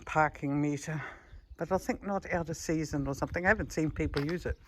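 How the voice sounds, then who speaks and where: A man's voice: fairly high pitch, fairly quick. A man from New Zealand chatting casually to camera as a travel vlogger on a walk, musing aloud in short phrases. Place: outdoors at a beach. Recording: outdoor noise, faint clicks.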